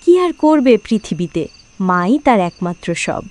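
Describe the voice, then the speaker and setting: A woman's voice: mid-range voice, animated, moderate pace, heavy background noise. A woman narrating a scripted horror story for a cartoon.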